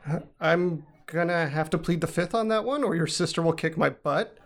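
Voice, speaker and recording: A man's voice: on the high side, normal speed, lightly acted. A man playing an awkward, apologetic young college dropout in a modern audio drama, earnest and self-deprecating. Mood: wry, awkward.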